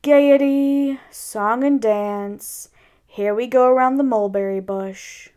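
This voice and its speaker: A woman's voice: a little high, takes it slowly, darkish tone, clean tone. A woman voicing a gloomy, self-pitying old male donkey from a storybook, with a mournful, sighing, sarcastic delivery.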